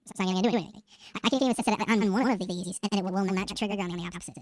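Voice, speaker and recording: A man's voice: racing, recorded from a distance. A young man playing a tutorial host through a voice changer that shifts his voice comically upward. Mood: flustered, rambling. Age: young adult. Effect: pitch-shifting voice changer, raised. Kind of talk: casual software tutorial.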